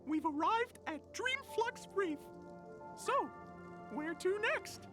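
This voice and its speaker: A childlike clock creature, a loyal, naive game sidekick. The voice is extremely high and earnest, with unhurried questioning phrases over clear background music. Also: female voice, animated, heavily acted. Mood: eager, fond. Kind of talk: cartoonish video game voice acting.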